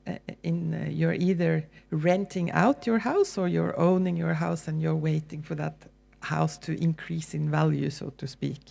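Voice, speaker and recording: Woman, Norwegian accent, lecturer, gravelly low voice, mellow tone, conversational with hesitations. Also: measured pace, hardly any pauses. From Norway. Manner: relaxed, conversational, with frequent ums and restarts. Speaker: university lecturer. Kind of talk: public lecture.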